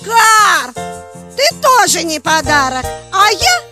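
A woman's voice: very high-pitched, very animated, takes it slowly, over loud music, heavily acted. A woman voicing a touchy, temperamental female crow in a children's puppet show, squawking and punctuating her talk with caws. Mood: huffy.

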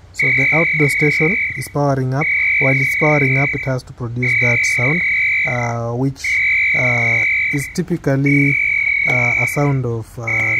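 A man with a Kenyan accent giving an unscripted step-by-step tech tutorial, speaking hesitantly with pauses and repeated phrases. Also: mid-range voice, heavy background noise. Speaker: product tutorial presenter.

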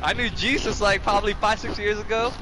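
A man's voice: high-pitched, fairly even intonation, a little fast, background noise. A young man bantering loosely and profanely with friends while playing an online game. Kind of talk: casual gaming chat. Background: game music.

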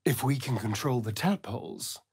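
A man's voice: medium pitch, expressive, moderate pace, darkish tone, slightly rough, no echo, studio quality. A man playing a vain, sardonic vampire rogue in a fantasy video game, with an arch, flamboyant drawl. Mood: teasing.

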